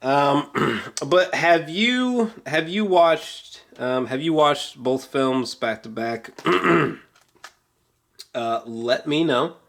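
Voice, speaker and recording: Slow-paced, awed man rambling about films. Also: fairly high pitch, animated, vocal fry, fairly bright tone. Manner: hesitant, trailing off, swears casually; awed, geeky enthusiasm. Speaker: film reviewer. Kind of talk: casual rambling film review to viewers.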